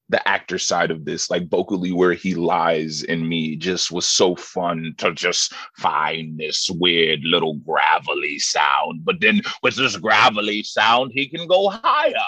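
A man's voice: sits low, sharp tone, slightly rough, no room sound. A man talking casually and reflectively about his acting work, with real enthusiasm, as in a conversational interview. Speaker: voice actor discussing his lead role. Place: remote video call. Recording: dry video-call recording.

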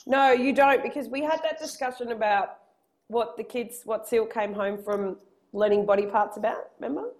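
A woman in her thirties co-hosting a podcast over a headset, telling animated stories about family life. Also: fairly high pitch, moderate pace. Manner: animated storytelling with acted-out quotes. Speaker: podcast co-host. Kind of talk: casual chat. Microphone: headset earphones.

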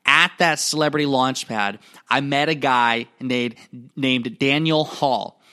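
This is a young adult man with an Iowa accent, hosting a solo podcast. His pitch sits in the middle, and he gets excited and speeds up as he talks. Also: clean tone. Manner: excitable, speaks fast when excited. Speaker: podcast host. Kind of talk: reflective solo podcast monologue.

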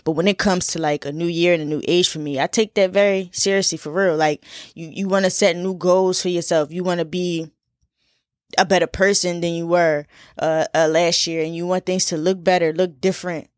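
A woman's voice: lowish voice, steady tone, fairly quick, dry recording, studio quality. A woman in her twenties from Philadelphia, hosting a casual solo podcast in a chatty, laid-back way with lots of fillers and repeats. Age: 20s. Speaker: podcast host.

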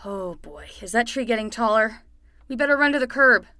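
A woman's voice: on the high side, animated, a little fast, pushed and bright, a little hiss or hum. A woman playing an anxious, bossy young summer nanny in a fiction podcast, quippy yet hesitant. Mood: uneasy.

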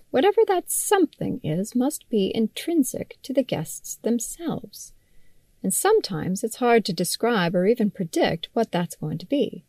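A woman's voice: fairly high pitch, darkish tone, no room sound. Woman reading her article, dry close recording. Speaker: magazine writer reading own article. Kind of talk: scripted article narration, read aloud.

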